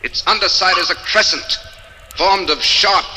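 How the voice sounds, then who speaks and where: An actor playing a ghostly Inquisition friar, coldly menacing and deliberate, in 1940s radio horror style. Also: male voice, high-pitched, background noise. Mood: gloating menace. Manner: deliberate, formal, sinister. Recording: old radio broadcast with music behind.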